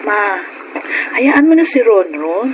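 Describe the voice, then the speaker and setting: A woman's voice: mid-range voice, very animated, slow pace, lo-fi sound. An actress playing a supportive young working woman, a protective Filipino older sister, in a radio drama. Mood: pleading, firm. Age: young adult. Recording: noisy radio drama track.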